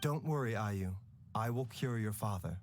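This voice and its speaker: An actor playing a composed young male doctor giving quiet, steady orders in a game. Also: on the low side, moderate pace, a little hiss or hum, natural voice. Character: calm gentle male doctor, young adult.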